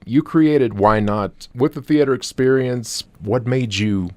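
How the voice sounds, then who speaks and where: A man interviewing guests for radio, asking relaxed, conversational questions. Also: mid-range voice, a little slow, no room sound.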